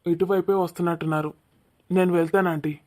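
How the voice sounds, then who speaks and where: A man's voice: sits high, steady tone, fast, a little mellow, natural voice. A man voicing a polite, mild young man in a cartoon comedy, matter-of-fact in manner. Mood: mild, pondering.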